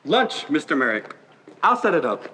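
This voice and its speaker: A man's voice: fairly high pitch, big pitch swings, moderate pace, pushed and bright, a little hiss or hum, low-quality recording. A teenage male student actor playing a chatty working-class hospital porter in a stage play. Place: high school theater stage.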